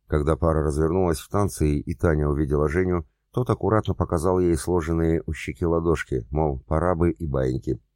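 Very deep, dark-voiced male narrator. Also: fairly quick, few pauses, no echo, clean studio sound. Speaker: audiobook narrator. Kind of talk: scripted narration.

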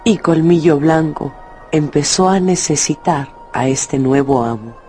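A male narrator telling a dramatised story for radio in a measured, expressive way. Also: on the high side, music in the background.

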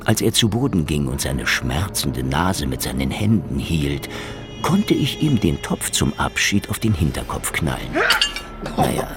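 Sardonic hardboiled detective, swinging pitch. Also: male voice, sing-song, a little slow, music in the background, heavily acted. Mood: gloating, hardboiled. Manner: sardonic, wisecracking, dry. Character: cynical hardboiled Soviet secret police detective, sardonic and defiant. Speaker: lead actor and first-person narrator. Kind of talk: German hardboiled radio crime drama acting.

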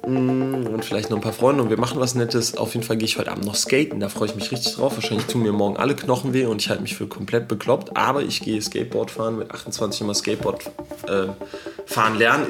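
A man's voice: on the low side, lightly acted. An actor in a radio drama playing a man of twenty-eight, keeping a rambling, self-deprecating audio diary in casual speech. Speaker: diarist trying out a self-help book.

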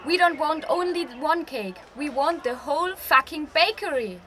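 A woman's voice: high voice, animated, moderate pace, pushed and bright. A woman playing a cheeky, rebellious and opinionated schoolgirl daughter in a scripted radio play. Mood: cheeky, defiant. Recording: clear background noise and ambience.

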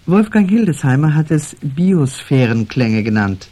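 Male announcer, scripted 1970s radio introduction, moderately high register. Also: very animated, a little slow, warm tone.

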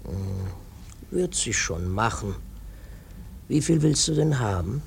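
A sanctimonious old skinflint of a captain in a radio drama, his voice creaky and gravelly over faint background noise. He preaches piously in scriptural tones while staying tight-fisted. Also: fairly low pitch, big pitch swings, slow, heavily acted. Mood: stingy, muttering. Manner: sanctimonious, preachy, muttering prayers. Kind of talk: German radio play acting.

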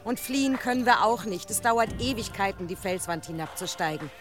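An actress playing a skeptical, fearful village wife in a German radio drama, cautionary and objecting. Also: female voice, medium pitch, expressive, fairly quick, over music, natural voice. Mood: wary warning.

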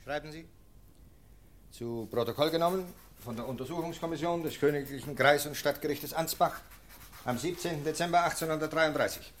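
A man plays a police lieutenant with a slightly high male voice. He speaks with officious, clipped authority and cool condescension, in the mannered style of 1950s radio drama. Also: brisk, fairly bright tone, slight background noise, natural voice. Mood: formal dictating. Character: brusque, sceptical male police lieutenant, coolly dismissive.

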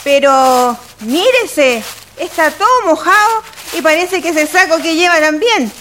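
A humble, motherly Chilean lady in a radio drama. Her high, bright voice is solicitous and colloquial, with some noise behind it. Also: expressive, measured pace, exaggerated voice. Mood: concerned. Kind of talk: radio play acting. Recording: clear background noise.

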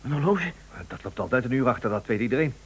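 Radio drama sidekick: male actor as a chummy, curious man, mid-pitched with very lively intonation. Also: fast talker, slight background noise, natural voice. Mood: astonished. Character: sociable old friend, astonished and probing. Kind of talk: radio play acting.

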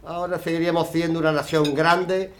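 A man's voice: on the high side, flat delivery, a little fast, slight background noise, natural voice. Man playing an indignant reactionary husband. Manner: indignant, preachy. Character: reactionary middle-aged husband, scornful.

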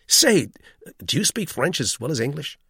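A man's voice: mid-range voice, sing-song, moderate pace, vocal fry, fairly bright tone, slightly rough, no echo, clean studio sound, full character voice. A man voicing an earnest, exasperated young man in a story reading. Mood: indignant. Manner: laborious French, plaintive and acerbic English.

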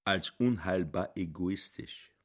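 A man narrating a literary radio play in a deliberate, emphatic manner. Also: deep voice, heavily acted.

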